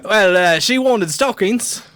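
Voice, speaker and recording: A man playing a bluff, excitable upper-class British gentleman with theatrical exclamations in an amateur radio play. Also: high voice, takes it slowly, dry recording, full character voice. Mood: jovial. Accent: put-on British. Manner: theatrical, old-fashioned exclamations.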